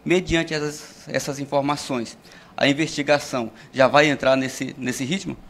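A man's voice: medium pitch, fairly even intonation, normal speed, fairly bright tone, a little hiss or hum. A man in his thirties with a northern Brazilian accent, reporting outdoors into a handheld mic in a formal way, with some restarts. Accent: Brazilian Portuguese, northern Pará. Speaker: field reporter. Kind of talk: news report on location. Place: outdoors beside a police truck.

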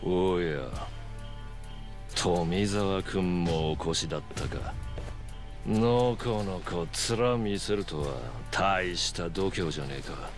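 A man playing a menacing, unhurried gang boss in a video game, speaking with mocking, coolly threatening manner. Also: low-pitched. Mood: mocking menace. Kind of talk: dramatic video game acting. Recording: dramatic music under the voice.

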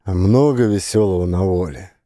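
A man voicing a gruff, weary male camp warehouse chief who talks in a mocking, curt way. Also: sits low, normal speed, warm tone, no room sound, studio quality, close to an everyday voice.

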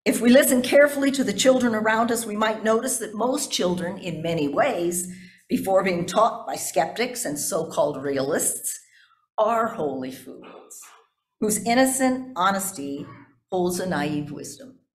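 An older woman pastor delivering a sermon from notes in deliberate, measured phrases, with asides to her congregation. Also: medium pitch, expressive. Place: indoors in a church sanctuary at a pulpit. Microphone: gooseneck pulpit microphone. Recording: echoey church sanctuary.

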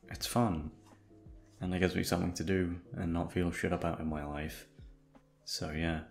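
Deep, gravelly, sleepy young-sounding man's ramble. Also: darkish tone. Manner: offhand, hesitant, sweary. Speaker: audio creator talking to listeners. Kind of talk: casual rambling chat to listeners.

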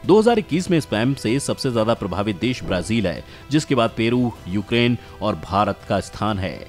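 Guy doing explainer narration, lowish voice, really animated and emphatic, bit of music under it. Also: brisk. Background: background music.

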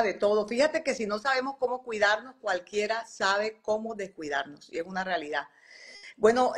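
A woman, talking as a guest on a live video call and animatedly acting out quoted dialogue as she tells stories. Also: a little high. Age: 40s. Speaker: guest doctor and skincare entrepreneur. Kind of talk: casual live interview. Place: home room by a bright window. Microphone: phone on video call.

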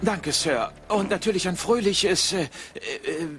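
An actor playing a meek, kindly clerk in an animated film, speaking hesitantly and politely to his master. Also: high-pitched, takes it slowly, soft background music, heavily acted. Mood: timid, grateful. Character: meek, kindly clerk and proud father, deferential.

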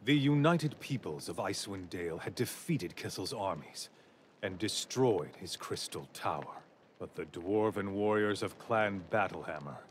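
A man's voice: low voice, a little hiss or hum. A man giving grave, scripted narration for a fantasy game's story cutscenes. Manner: solemn, grave. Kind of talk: epic scripted game narration. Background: loud orchestral music.

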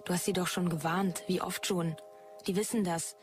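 A woman's voice: fairly low pitch, fairly even intonation, moderate pace, close to an everyday voice. A woman playing a blunt, colloquial character in a sci-fi audio drama. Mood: troubled, sceptical. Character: blunt, irritated woman with a guilty conscience. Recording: loud music behind the voice.

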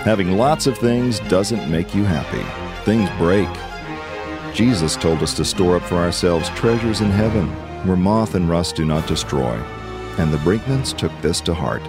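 A man narrating a scripted outro for a family audio drama as its host. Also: low-pitched.

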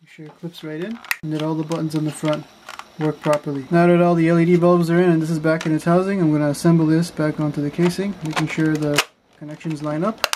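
Calm, flat-voiced man explaining a repair. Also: on the high side, fast talker, background noise. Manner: calm, plain and methodical. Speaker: car electronics tutorial maker. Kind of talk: step-by-step how-to narration.

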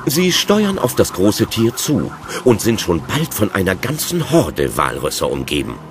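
A deep-voiced male storyteller narrates a children's adventure audio drama. His delivery swings widely and expressively, with music playing clearly behind him. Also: huge pitch swings, unhurried. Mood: narrating.

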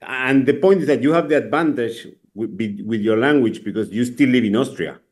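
A middle-aged man from Argentina, a native Spanish speaker, talking in English on a video call from a curtained room, animated and repeating words. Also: mid-range voice, brisk. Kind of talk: casual interview chat. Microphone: desk mic on video call.

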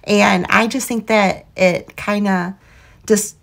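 A woman hosting a craft tutorial in a chatty, enthusiastic voiceover, her voice going hoarse. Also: mid-range voice, fairly even intonation, slow pace. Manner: chatty, enthusiastic, laughs often. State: losing her voice. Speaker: craft channel host.

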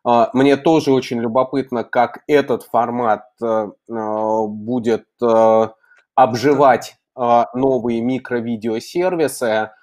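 A middle-aged man hosting an unscripted online interview, phrasing deliberately with long, drawn-out hesitations. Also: mid-range voice, fairly even intonation, a little mellow. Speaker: host. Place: indoor room, on a video call.

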